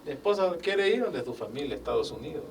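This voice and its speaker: An older man tells his story in a relaxed interview and voices the people he quotes. He sounds a little far from the mic, with a faint hiss underneath. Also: mid-range voice, quick pace. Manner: animated, acts out quoted dialogue, religious phrasing. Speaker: guest, business owner. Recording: distant mic with faint background noise.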